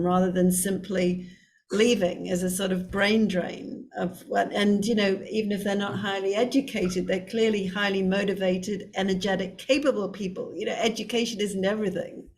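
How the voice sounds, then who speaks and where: A woman's voice: medium pitch, fairly even intonation, moderate pace. A woman hosting a webinar panel, asking open questions in an encouraging way. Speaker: webinar host. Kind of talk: moderating a panel. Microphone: video call.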